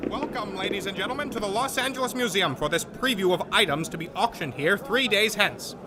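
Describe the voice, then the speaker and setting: An actor playing a courteous, formal museum auction presenter in a radio drama. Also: male voice, sits high, a little fast, background noise, natural voice. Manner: polite, formal announcing. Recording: busy crowd behind.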